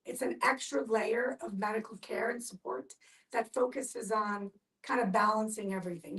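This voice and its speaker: A woman explaining things to a discussion group in a measured, instructive way. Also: on the high side. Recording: distant from the microphone.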